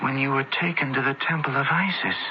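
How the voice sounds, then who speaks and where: An actor playing a bewildered accused fugitive in ancient Rome, in an earnest, dramatic radio play style. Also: male voice, mid-range voice, fairly even intonation, fast, noisy background, lightly acted. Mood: anxious. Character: accused young Roman-era Athenian man, bewildered fugitive. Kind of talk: radio drama acting and first-person narration. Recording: dull radio recording with loud music behind.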